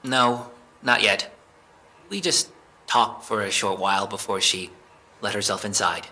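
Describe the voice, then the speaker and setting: A man playing an evasive, jittery young man being questioned by police, halting and defensive, in a naturalistic audio drama. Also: fairly low pitch, pushed and bright, heavily acted, poor audio. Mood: nervous explaining.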